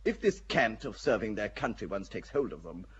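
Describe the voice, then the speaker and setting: A cynical English nobleman, husky and languid, who drifts lazily through his lines. His voice rises and falls in very wide swings. The sound is dull and lo-fi, like an old radio play. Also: lowish voice, big pitch swings, fairly bright tone, exaggerated voice. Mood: wry. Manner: languid, wry and unhurried. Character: cool, cynical English nobleman, middle-aged. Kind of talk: classic radio drama acting.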